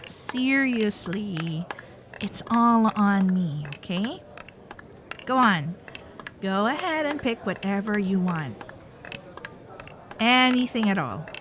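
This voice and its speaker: A woman's voice: medium pitch, lively intonation, slow, pauses often, fairly bright tone, a little hiss or hum. A woman voicing a sweet, flustered young fox-spirit girlfriend in an ASMR roleplay, with a nervous, stammering, caring manner. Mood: hushed, caring.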